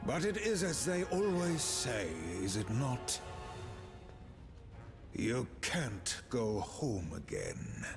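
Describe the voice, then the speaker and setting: Smug villain, very slow and lilting, music behind. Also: male voice, mid-range voice, big pitch swings. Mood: gloating. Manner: smug, drawn-out gloating. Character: gloating power-hungry male villain leader. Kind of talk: video game cutscene acting.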